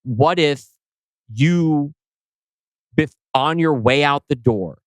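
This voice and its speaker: A man's voice: on the high side, slow, no echo. A man co-hosting a podcast as a scriptwriter, talking casually and informally.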